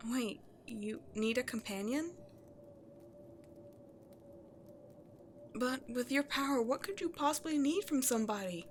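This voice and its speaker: A woman playing a remorseful, self-loathing cursed princess turned beast in an ASMR roleplay, halting and confessional, often hushed to near-whispers. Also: a little high, slight background noise, natural voice. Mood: hesitant, pleading. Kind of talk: dramatic ASMR roleplay monologue to the listener.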